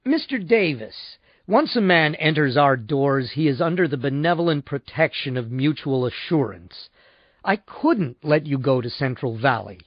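An unctuous male insurance salesman from a novel, put on by a male narrator. He is fussy, pompous and easily shocked, with deference in a high, animated voice that swings widely. The recording is dry. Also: moderate pace, no room sound, muffled recording. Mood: flustered, earnest.

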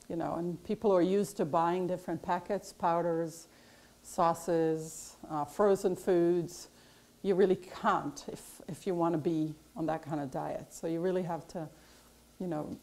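A woman's voice: fairly low pitch, darkish tone. A middle-aged woman chef giving a casual cooking demonstration in a large kitchen classroom, chatty and explanatory with lots of fillers.

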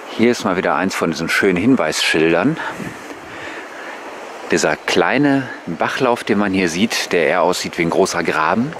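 A man giving relaxed, musing, casual commentary to viewers as a vlogger on a bike tour. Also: low voice. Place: outdoors on rural paths and waterside. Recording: noisy outdoor recording with passing vehicles.

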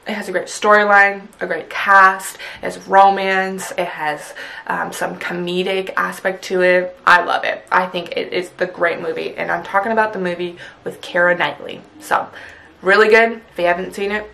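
A woman's voice: fairly low pitch, steady tone, normal speed, creaky, pushed and bright. A woman in her twenties whose first language is Punjabi, chatting to camera in a small bedroom, gushing and full of "like" fillers. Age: 20s. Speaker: vlogger. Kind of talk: casual chat to camera.

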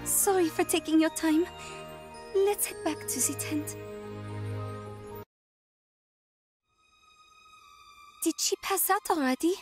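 Game role: proud young would-be knight, very high female voice, earnest and formal, over music. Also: normal speed. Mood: apologetic. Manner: earnest, formal, stately phrasing. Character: proud, formal young woman soldier devoted to chivalry. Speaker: video game character. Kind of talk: English game voice acting.

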